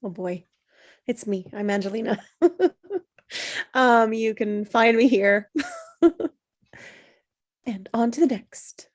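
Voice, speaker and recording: A woman's voice: a little high, slow, pauses often. A woman chatting casually in a brief self-introduction. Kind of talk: casual chat.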